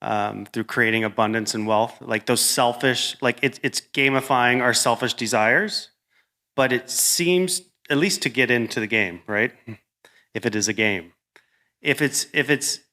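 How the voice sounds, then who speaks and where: A man speaks in a panel discussion with a bright, forceful tone. His pitch is average. He thinks aloud in long, abstract sentences, searching for the shape of his idea. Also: unhurried.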